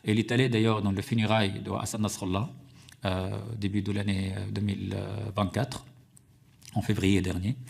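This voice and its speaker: Halting, gravelly man. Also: lowish voice, darkish tone. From Syria. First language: Arabic. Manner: halting, frequent "euh" fillers and self-corrections. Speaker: journalist testifying at a hearing. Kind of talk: formal testimony with many hesitations.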